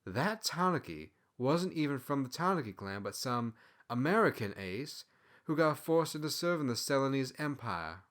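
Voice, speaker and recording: A man's voice: mid-range voice, very animated, normal speed, a bit bright. A man voicing an outspoken, opinionated female tanuki servant in a storybook, arguing with emphasis. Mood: matter-of-fact.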